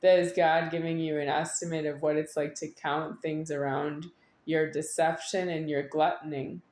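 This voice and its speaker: Echoey, low-voiced woman rambling. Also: little pitch movement, normal speed, darkish tone. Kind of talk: rambling unscripted monologue to an audience. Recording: echoey room.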